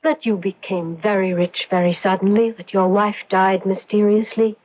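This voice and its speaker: A woman's voice: mid-range voice, steady tone, moderate pace, natural voice. Actress playing a seductive scheming witch, lo-fi. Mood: teasing, evasive. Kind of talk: old-time radio drama acting. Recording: dull lo-fi radio drama recording.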